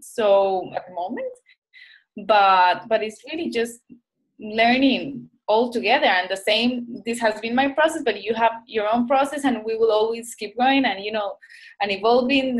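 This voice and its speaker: A woman's voice: fairly high pitch, animated, moderate pace, low-quality recording. A woman from Rica, a doctor and guest, talking reflectively and searching for words with many restarts. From Costa Rica. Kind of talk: reflective conversation.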